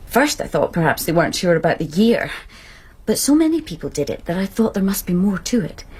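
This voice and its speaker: An actress playing a knowledgeable woman scholar in a radio drama, explaining in a patient, measured, exact way. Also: on the low side, very animated, darkish tone, husky, full character voice.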